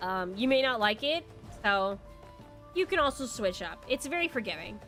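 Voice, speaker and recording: A woman's voice: fairly high pitch, sing-song, normal speed, fairly bright tone. A congested young woman streaming a game, chatting casually and rambling, swearing now and then. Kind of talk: casual game livestream chat. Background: faint background music.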